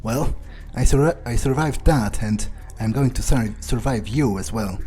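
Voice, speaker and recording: Casting: a man as a cocky, vindictive male vampire for game roleplay, mellow mid-range voice, halting, sweary delivery, faint music behind. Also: medium pitch, close to an everyday voice. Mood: taunting menace. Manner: halting, self-correcting, swearing, taunting. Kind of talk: in-character video game roleplay playthrough.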